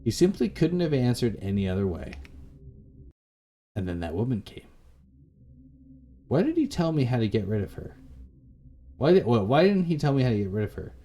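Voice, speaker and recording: A man's voice: medium pitch, big pitch swings, normal speed, pauses often, warm tone, a little hiss or hum. A man reading a visual novel's text aloud as a let's play host, dramatic in delivery, sometimes stumbling and rereading.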